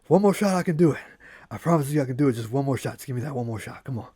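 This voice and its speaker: A man's voice: medium pitch, expressive, very fast, mellow, breathy edge, no echo, studio quality. A man with an American accent voicing a determined, incredulous young boy runner who stammers and pleads in a story. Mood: desperate pleading.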